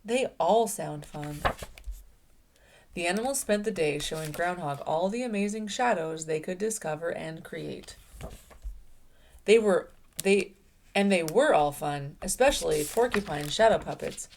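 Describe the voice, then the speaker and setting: Expressive woman reading a storybook aloud. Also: fairly low pitch, moderate pace, slight background noise. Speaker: children's read-aloud host. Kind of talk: expressive storybook narration for children.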